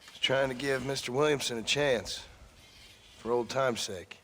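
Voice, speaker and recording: An actor playing a weary, polite former outlaw with an American accent in a Western video game, terse and dryly understated. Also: male voice, mid-range voice, unhurried, a bit bright, faint music behind, close to an everyday voice.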